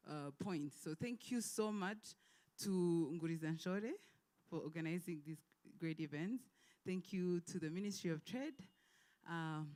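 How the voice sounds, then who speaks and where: A woman's voice: lowish voice, darkish tone. A woman speaking as an event host, giving an informal, polite and somewhat hesitant welcome speech.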